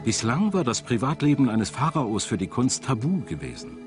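Expressive male documentary narrator. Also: medium pitch, moderate pace. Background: background music.

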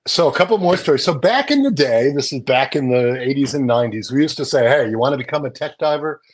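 Older man, mid-pitched voice, casual news rundown, explanatory, chatty, indoor video call. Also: lively intonation, fast, breathy edge. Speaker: magazine editor and guest presenter. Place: small home room with bookshelves, indoors. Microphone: video call, close to the screen.

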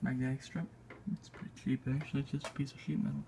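A man narrating a casual hands-on tutorial in a halting, unscripted way, thinking aloud as he works. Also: mid-range voice, level tone, vocal fry, dark tone, a little hiss or hum. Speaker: video maker demonstrating a repair. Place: indoors at a tabletop.

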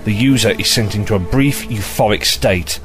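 A man playing a gruff, impatient detective chief inspector in an audio drama, blunt and sardonic. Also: on the low side, normal speed, faint music behind, close to an everyday voice. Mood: explaining.

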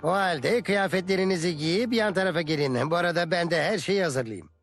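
An actor playing an animated, wise old teacher in a dubbed educational cartoon, with a creaky voice. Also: male voice, sits high, fairly quick, faint music behind. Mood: animated, encouraging. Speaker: cartoon mentor.

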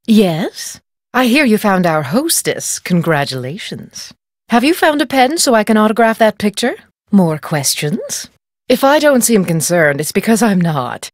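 A haughty woman writer from a video game, with a lowish voice that swoops expressively through every sentence. She is pompous and patronizing, speaking in polished, articulate phrases. The recording is dry and close. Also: dry recording. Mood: dismissive, cool. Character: haughty, self-important woman novelist, patronizing and brisk. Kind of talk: video game character dialogue.

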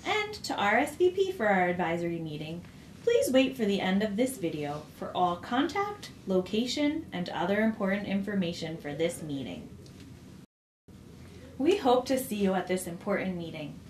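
A woman reads a public announcement aloud with clear, even delivery and a lively, rising-and-falling pitch. The room around her rings with echo, with a faint hiss of ambience underneath. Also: moderate pace. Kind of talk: read public service announcement.

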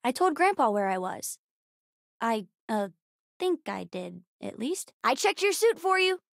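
A woman voicing a bright, bookish, sassy young girl in a video game, earnest, chatty and precocious. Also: on the high side, sing-song, a little slow, vocal fry, no room sound, clean studio sound, full character voice. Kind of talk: video game character dialogue.

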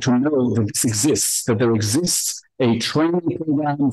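Male conference speaker, medium pitch, deliberate and emphatic explainer, dry recording. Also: darkish tone, no room sound, rough recording. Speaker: conference presenter. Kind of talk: explanatory conference presentation with slides. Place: indoor conference room.

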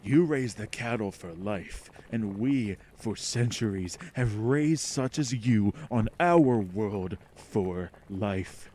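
Slow, low-voiced hungry alien monster. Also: male voice, lively intonation, dark tone, slight background noise. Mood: contemptuous, gloating. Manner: slow, commanding, hypnotic, contemptuous of humans. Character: cold, hungry alien monster, ancient and menacing male predator. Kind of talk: old-time horror radio drama acting.

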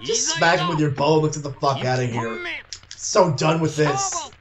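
A man's voice: a little high, very animated, moderate pace. A man co-hosting casual gameplay banter, sweary, incredulous and sarcastic as he comments on a game. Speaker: gaming show co-host. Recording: game music behind the voice. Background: loud fighting game music.

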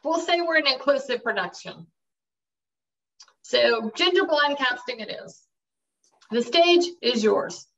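A woman's voice: high voice, animated, unhurried, long pauses, a bit bright, heavily acted. A woman playing a polite, dutiful assistant. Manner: deferential, polite. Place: room with wooden door. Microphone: webcam. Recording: distant, dull webcam sound.

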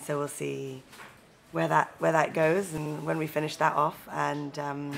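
Low, gravelly-voiced Londoner. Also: female voice, little pitch movement, measured pace, crowd noise. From London, England. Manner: thoughtful, earnest, with small restarts. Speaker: film director and actor, interview guest. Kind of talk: casual interview answers. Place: seated indoors in a room with a leather sofa. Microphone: lapel mic.

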